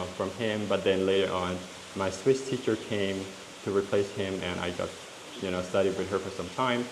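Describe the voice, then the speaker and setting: Lao guy vlogging, lowish voice, lots of uhs and you knows, echoey room w faint hiss. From Laos. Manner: talks in halting stretches with many fillers and restarts. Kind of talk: casual explanatory vlog.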